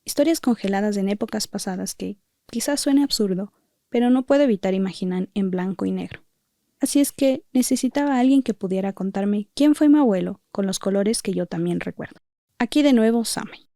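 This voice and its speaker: A woman journalist hosting a podcast, giving measured, reflective scripted narration. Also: mid-range voice, mellow, no room sound, clean studio sound. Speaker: journalist host.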